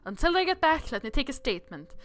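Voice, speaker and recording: Woman putting on a stern, high-pitched city guard. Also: very animated, fast talker, fairly bright tone. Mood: commanding. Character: stern, officious city guard questioning suspects.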